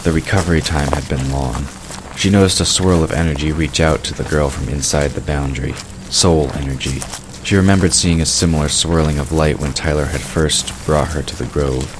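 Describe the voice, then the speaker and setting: A man's voice: very deep voice, steady tone, moderate pace. A man reading scripted third-person narration for a fantasy audio drama. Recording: clear background noise of night crickets.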